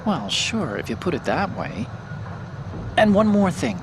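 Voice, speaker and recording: An eager techie on the radio. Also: male voice, high voice, very animated, noisy background, full character voice. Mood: explaining. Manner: quick, explanatory, eager. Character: chatty male tech support hacker.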